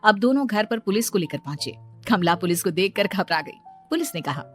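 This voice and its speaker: A woman's voice: medium pitch, expressive, a little fast, faint music behind. A woman narrator telling a scripted moral family story.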